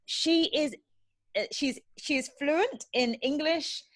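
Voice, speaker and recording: High-voiced woman hosting an interview. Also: slow. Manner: effusive, welcoming. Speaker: podcast host.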